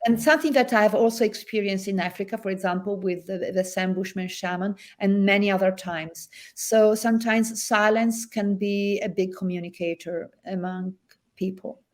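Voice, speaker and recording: A woman's voice: medium pitch, normal speed. Italian woman author, thoughtful and hesitant. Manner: thoughtful, hesitant with many fillers. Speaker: author guest. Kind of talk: interview and reading from her novel.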